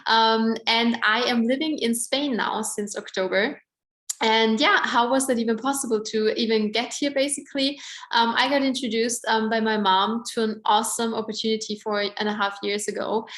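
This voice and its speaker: Young woman, Austrian German accent, chatty presenter, lots of filler words, bit high voice, roomy echo. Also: steady tone, normal speed, a bit bright. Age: 20s. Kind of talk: online presentation.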